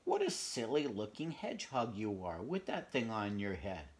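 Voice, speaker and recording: A man voicing a teasing barn cat in a low-key, dry tone. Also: huge pitch swings, normal speed.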